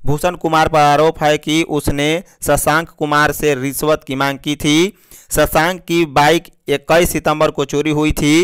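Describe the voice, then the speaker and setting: A man reading a scripted news bulletin in a formal, measured manner as a news reader. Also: fairly high pitch, little pitch movement, fairly bright tone, smooth, no echo.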